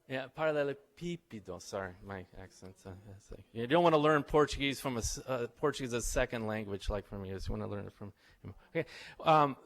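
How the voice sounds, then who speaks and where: A middle-aged man, a visiting professor, tells stories and quizzes a live audience in an informal lecture. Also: mid-range voice. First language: English. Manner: chatty, anecdotal, asks the audience questions. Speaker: visiting professor giving a talk.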